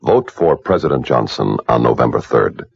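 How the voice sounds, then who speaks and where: Extremely deep, gravelly male ad announcer. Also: lively intonation, normal speed, full character voice. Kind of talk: vintage campaign ad voice-over. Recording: old muffled ad, very noisy.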